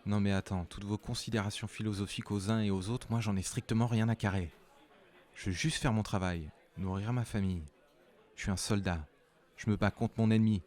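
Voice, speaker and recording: A man's voice: lowish voice, fairly even intonation, fairly quick, darkish tone, natural voice. A man playing a blunt, authoritative military captain who threatens in colloquial speech, in acted drama dialogue. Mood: firm, threatening. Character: strong, weary military captain of noble authority, pressuring an informant.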